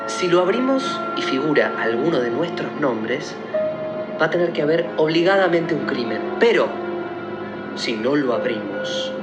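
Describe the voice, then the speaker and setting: A man's voice: on the high side, big pitch swings, measured pace, reverberant, over loud music. An actor playing an earnest young man in a radio play, reasoning out a mystery in an emphatic, explanatory way. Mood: urgent, reasoning. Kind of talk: theatrical radio play acting.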